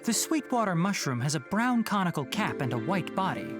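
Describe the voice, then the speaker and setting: A calm scholarly fox-eared ranger. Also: male voice, sits high. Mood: calm, explanatory. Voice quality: measured, explanatory. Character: calm scholarly young male forest ranger with fox ears. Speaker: game character in a trailer. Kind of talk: game trailer voice acting. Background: game score music.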